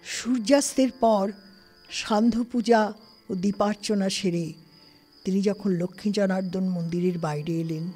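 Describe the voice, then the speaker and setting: A woman narrating a literary story in an expressive, scripted style. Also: medium pitch, unhurried. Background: music.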